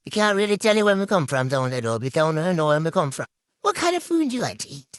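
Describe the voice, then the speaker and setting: Lively Jamaican woman, dry sound. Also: low voice, quick pace, dry recording. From western Jamaica. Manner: animated patois answers.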